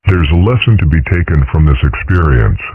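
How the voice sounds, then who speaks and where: A man voicing a sardonic adult mentor figure, deadpan and mock-solemn, in an amateur comic dub. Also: very deep voice, brisk, breathy edge, no room sound, full character voice. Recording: dry, phone-like narrow sound.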